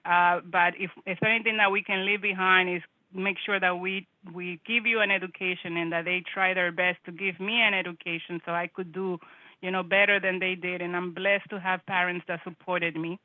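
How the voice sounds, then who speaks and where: A woman's voice: lowish voice, level tone, moderate pace, dry recording. Chatty woman guest over a tinny call. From Dominican Republic. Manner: chatty, laughing, many restarts. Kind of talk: casual live-stream interview. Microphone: video call. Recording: tinny phone-like call audio.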